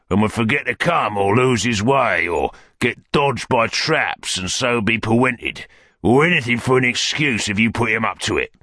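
A man's voice: lowish voice, creaky, sharp tone, dry recording, clean studio sound, voice pushed up, exaggerated voice. A man voicing a brutish, bitter London criminal in an audiobook, growling and surly with a Cockney accent. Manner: surly, demanding. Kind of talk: audiobook character acting.

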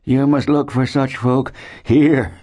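A man voicing a sick, worn-out settler in an audiobook, anxious and gloomy, with faint breath and slow, labored, grim speech. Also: mid-range voice, flat delivery, warm tone, pitched above the performer's own voice. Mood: grim warning.

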